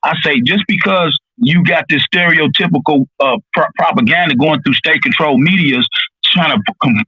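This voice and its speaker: Emphatic man, husky and muffled. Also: a little high, normal speed, dry recording. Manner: emphatic, urging others on. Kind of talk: audio chat room discussion. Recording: muffled lo-fi audio.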